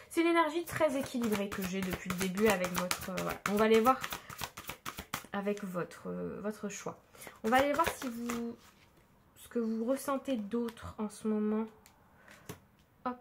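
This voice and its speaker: A woman with a slightly high voice reads tarot cards in a casual, chatty way. She hesitates often and fills gaps with small sounds. Her nose is stuffed up from a cold. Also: a little hiss or hum. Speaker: tarot card reader. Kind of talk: casual tarot reading to camera.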